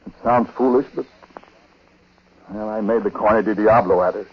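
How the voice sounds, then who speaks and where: An actor playing an uneasy husband in a 1940s radio drama, speaking colloquially and confidingly in hesitant, halting phrases. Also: male voice, on the low side, husky. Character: uneasy husband in a small-time psychic scheme, narrating confidingly. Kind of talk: 1940s radio drama acting, confessional narration. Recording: muffled, noisy 1940s radio broadcast recording.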